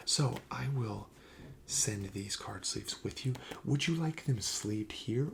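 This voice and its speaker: A man speaking softly in a breathy half-whisper, playing a gentle, polite shop clerk in an ASMR roleplay. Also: fairly low pitch, a little hiss or hum. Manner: gentle, polite, attentive salesman.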